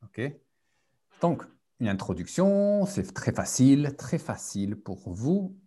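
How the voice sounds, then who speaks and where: A man's voice: medium pitch, very animated, slow pace, dark tone, breathy edge. A man teaching French in a live online group lesson, repeating key phrases and switching between French and English. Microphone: video call.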